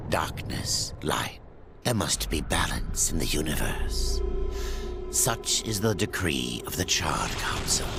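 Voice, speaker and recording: A man playing a grave, ominous ancient narrator of dark fantasy game lore, solemn and theatrical with a gravelly voice. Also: deep voice, full character voice. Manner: solemn, portentous, theatrical delivery. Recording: loud music under the voice. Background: loud music.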